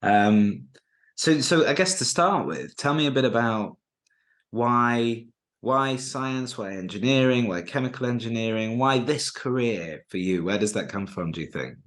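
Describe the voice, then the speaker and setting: A man's voice: medium pitch, animated, unhurried, fairly bright tone. A man hosting an interview, agreeing readily with his guest and drawing him out with questions. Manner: agreeable, affirming, frequent backchannel. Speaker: interview host.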